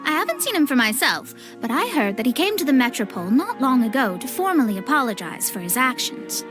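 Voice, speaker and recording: Mona, high-voiced theatrical young astrologer. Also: female voice, big pitch swings, a little fast, music in the background. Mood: explanatory. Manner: theatrical, haughty, wry. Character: proud, dramatic young woman astrologer, easily exasperated. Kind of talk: video game cutscene acting.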